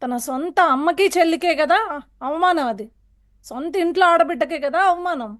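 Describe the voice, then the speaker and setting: A woman speaking in a heated television political debate, forceful and accusatory, firing rhetorical questions. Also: extremely high pitch, normal speed. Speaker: political panel guest.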